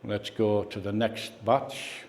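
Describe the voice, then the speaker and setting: Low-voiced man speaking in an echoey hall. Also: little pitch movement, slow, darkish tone, a little hiss or hum. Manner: conversational, reminiscing, puts questions to the audience. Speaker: guest speaker at a radio club. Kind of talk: informal club talk with slides and anecdotes. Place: indoor club meeting room. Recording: echoey hall, club meeting room.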